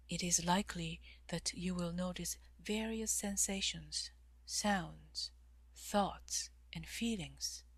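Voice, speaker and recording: A woman leading a guided meditation in a calm, measured way, with long pauses and soft, breathy phrase endings. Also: fairly low pitch, no room sound. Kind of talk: guided meditation, slow instruction.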